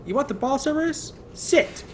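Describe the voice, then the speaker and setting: A man's voice: extremely high pitch, animated, moderate pace, a little mellow, pitched above the performer's own voice. A man voicing a brave, bossy young girl who gives firm commands to a monster dog in a storybook reading. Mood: commanding. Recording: noticeable background noise.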